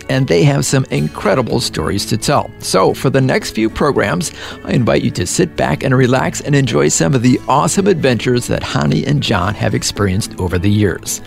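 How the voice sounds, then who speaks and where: A man hosting a radio interview in a friendly, measured way. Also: medium pitch, lively intonation, creaky, soft background music. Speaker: radio host.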